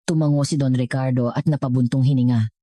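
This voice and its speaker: A woman's voice: rumbling low, fairly even intonation, fast, warm tone, no room sound, studio quality. A woman with a Filipino accent reading a scripted, dramatic story as a storyteller.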